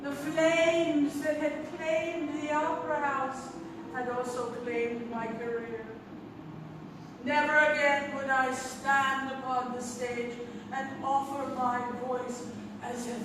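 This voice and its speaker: Give the sound of a distant, very echoey theatre hall with music behind. In it a woman plays a wistful opera singer mourning her lost career, high and slow, in formal, measured stage-drama phrases. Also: fairly even intonation, background noise. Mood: mournful. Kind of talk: dramatic monologue in a live stage radio play.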